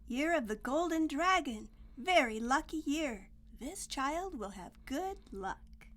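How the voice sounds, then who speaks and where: Woman as a gently firm storybook mother, unhurried. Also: high-pitched, lively intonation, exaggerated voice. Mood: proud. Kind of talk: read-aloud children's picture book.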